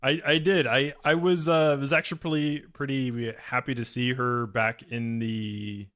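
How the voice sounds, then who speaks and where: Regular mid pitch guy on a podcast, casual, kinda hesitant talking. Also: flat delivery, unhurried, a bit bright. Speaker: podcast co-host. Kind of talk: casual chat.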